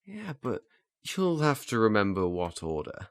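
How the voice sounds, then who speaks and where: A man's voice: on the low side, sing-song, measured pace, dry recording. A man playing an earnest, flustered learner in a naturalistic audio drama, hesitant and stammering as he restarts his phrases. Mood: patient, instructing. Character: earnest, flustered male learner eager to master memory techniques.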